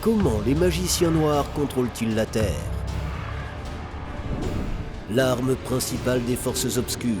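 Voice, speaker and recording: A man's voice: mid-range voice, slow, pauses often. A man reading scripted promotional narration as a trailer announcer. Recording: music behind the voice. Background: clear music.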